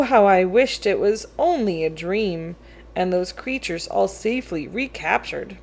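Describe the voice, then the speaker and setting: A woman reads a children's verse story aloud at an unhurried pace. Her mid-range voice is expressive, with deliberate phrasing and weight on the rhymes. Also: medium pitch, gravelly.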